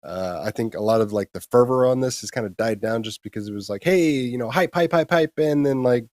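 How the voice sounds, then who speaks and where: A man's voice: medium pitch, fairly quick, darkish tone, no room sound. A man co-hosting a casual gaming news discussion, talking in a colloquial, opinionated way. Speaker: co-host of a gaming news show.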